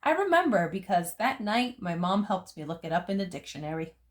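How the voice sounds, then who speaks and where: A woman reading a picture book aloud as a storyteller, phrasing it deliberately for young listeners. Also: lowish voice, big pitch swings. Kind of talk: expressive picture book narration.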